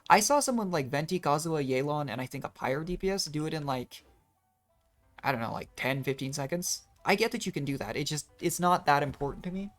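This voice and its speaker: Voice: mid-range voice, lively intonation, brisk. A game streamer rambling casually through gameplay commentary, thinking aloud in a self-deprecating way. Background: video game music.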